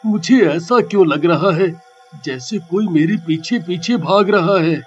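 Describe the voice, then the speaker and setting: A man voicing Kartik, a young man who sells stolen goods, jumpy and stubborn, crying out slowly when scared, over faint music. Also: high-pitched, breathy edge, lo-fi sound. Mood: frightened. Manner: fearful exclamations, slow drawn-out cries. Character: Kartik, young male thief-market seller, jittery and stubborn.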